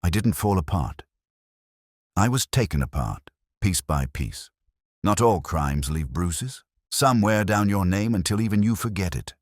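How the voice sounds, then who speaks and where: A man voicing a wronged female clerk who confesses on tape in a composed, brittle, deliberate way, in an audio mystery. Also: deep voice, lively intonation, no echo, studio quality, voice pushed up. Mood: brittle, resigned.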